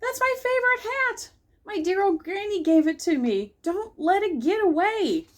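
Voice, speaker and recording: A woman's voice: extremely high pitch, sing-song, normal speed, pitched above the performer's own voice. A woman voicing a prim, formal and dramatic female schoolteacher in a picture book read-aloud. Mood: distressed.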